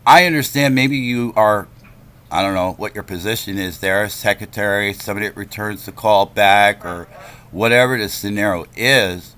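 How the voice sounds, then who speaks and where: A man's voice: lowish voice, moderate pace, bright, forceful tone, clean tone. A man making a complaint on a phone call, speaking in an insistent, confrontational, lecturing manner.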